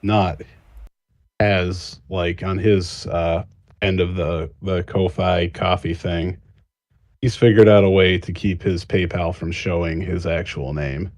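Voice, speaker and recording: A man chatting casually on a podcast panel in a halting, tentative way. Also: deep voice, fairly even intonation, a little mellow. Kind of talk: casual chat.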